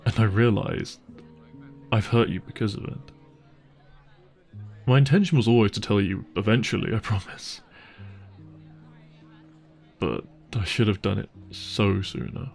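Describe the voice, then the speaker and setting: A man's voice: lowish voice, a little slow, vocal fry, pauses often, darkish tone, faint music behind. A man playing an apologetic, devoted boyfriend with money in an intimate roleplay audio drama, speaking earnestly and tenderly. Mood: regretful, pleading. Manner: earnest, tender, hesitant phrasing with sighs. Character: apologetic, devoted, secretly wealthy boyfriend on a dinner date. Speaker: voice actor.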